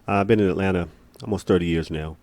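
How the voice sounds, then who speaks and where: A man's voice: low voice, steady tone, quick pace, darkish tone. A man in his forties giving opinions on a panel in an emphatic, declarative way. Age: 40s. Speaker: panel guest. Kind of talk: casual opinionated panel talk. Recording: clearly noisy room recording.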